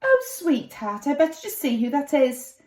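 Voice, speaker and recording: Woman playing a gentle, high-voiced storybook mummy. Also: sing-song, normal speed, reverberant. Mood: apologetic, affectionate. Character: kindly mummy reading her little boy a bedtime story.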